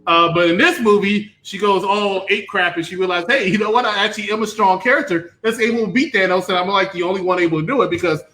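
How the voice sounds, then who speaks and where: A man's voice: sits high, quick pace, few pauses, sharp tone, rough recording. A man hosting a casual panel debate about movies in a teasing, playfully combative way. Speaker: host of a panel show.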